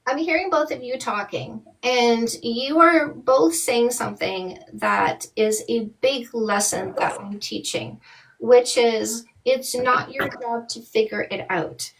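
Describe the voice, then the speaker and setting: A woman's voice: fairly high pitch, takes it slowly, a bit bright, recorded from a distance, poor audio. A woman in her forties from Canada, a dating coach giving blunt, emphatic advice to callers on a live stream from a small room. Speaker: dating coach hosting a call-in show. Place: small room indoors, webcam.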